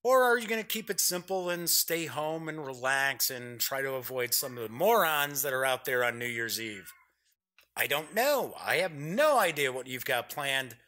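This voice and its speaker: A man's voice: medium pitch, very animated, normal speed, bright, forceful tone. A man hosting an online gaming show, talking casually to viewers in a folksy, chatty, rambling way.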